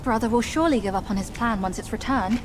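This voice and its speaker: A woman's voice: on the high side, fast talker, noisy background, natural voice. An actress playing a young demon woman posing as a devoted daughter in a game cutscene. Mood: pleading.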